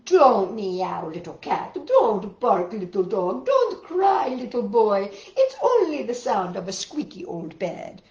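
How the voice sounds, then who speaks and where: Patient storybook grandma, played by a woman, slow gentle sing-song hushing, lively intonation, roomy sound. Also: medium pitch, full character voice. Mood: soothing, chiding. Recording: roomy, dull lo-fi home recording.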